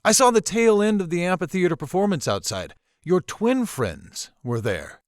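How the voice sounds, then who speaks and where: A man acting a polite, probing, subtly ominous college professor in a visual novel, speaking in a measured, formal, theatrical way. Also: sits high, sing-song, dry recording, studio quality. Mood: cordial. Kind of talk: visual novel character dialogue.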